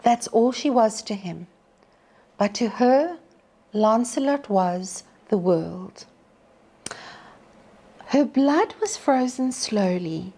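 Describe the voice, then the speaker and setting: A woman teacher reading aloud as a storyteller, with a theatrical, measured delivery that can drop to hushed, breathy tones. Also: fairly high pitch, animated. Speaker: teacher reading poetry to pupils. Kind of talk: dramatic poetry recitation and storytelling.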